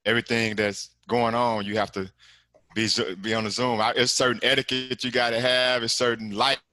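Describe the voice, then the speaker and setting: A man's voice: mid-range voice, little pitch movement, fairly quick, pushed and bright, no echo. A middle-aged man from Texas, a commercial lender speaking as a guest on a video call, talking in a loose, rambling way and dropping "man" and "you know" often. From Galveston, Texas. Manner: laid-back, rambling, frequent "man" and "you know what I'm saying". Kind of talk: casual interview over video call.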